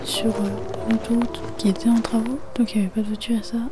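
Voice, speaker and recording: A woman narrating a travel vlog in a soft, thoughtful, half-whispered voice-over. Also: fairly high pitch, little pitch movement, fast, over music.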